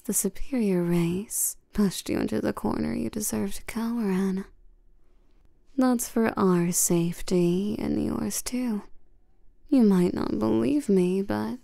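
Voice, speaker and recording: Casting: actress as domineering vampire queen for intimate audio roleplay; unhurried, mellow, breathy delivery, teasing and condescending toward humans. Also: medium pitch, no room sound, clean studio sound. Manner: slow, teasing, domineering, with terms of endearment.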